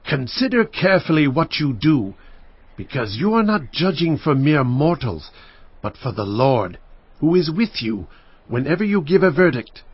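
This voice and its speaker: A man's voice: on the high side, sing-song, normal speed, slightly rough, dry recording. An actor playing an earnest ancient king who gives solemn commands and pleads in prayer, in a dramatized scripture recording. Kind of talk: dramatized scripture audio acting. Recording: dull lo-fi with music bed.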